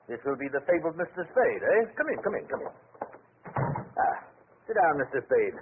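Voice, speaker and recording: A suave, menacing gang boss in an old radio detective drama. He speaks in florid, fussy courtesies with a very animated pitch, over a phone-thin, slightly hissy recording. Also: normal speed, phone-quality sound. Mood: effusive, indulgent. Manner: florid, pompous, amused, fussy. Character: genteel, suavely menacing male crime boss. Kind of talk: 1940s radio acting.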